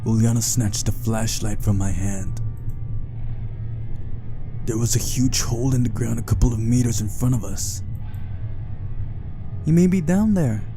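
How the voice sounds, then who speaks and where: A man's voice: fairly low pitch, little pitch movement, moderate pace, pauses often, music in the background. A man reading a visual novel's story aloud as scripted first-person narration for a playthrough.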